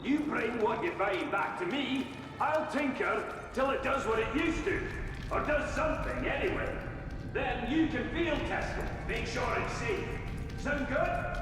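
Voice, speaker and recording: An actor playing a cheerful, eccentric dwarf tinkerer in a video game, with a wry, folksy, teasing way of talking. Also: male voice, very high voice, unhurried, noisy background. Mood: jovial, teasing. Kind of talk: video game cutscene acting.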